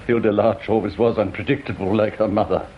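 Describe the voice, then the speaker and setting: A man's voice: on the low side, fairly even intonation, brisk, narrow, tinny audio, a little hiss or hum, close to an everyday voice. An actor playing a dry, worldly English senior policeman in a British radio comedy-mystery, sardonic and probing. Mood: dryly scandalised.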